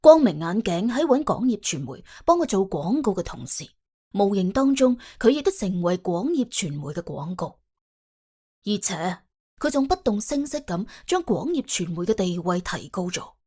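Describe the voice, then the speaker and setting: A woman narrates a comic crime story in an expressive, conversational storytelling style. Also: medium pitch, moderate pace, breathy edge. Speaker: audiobook narrator and host.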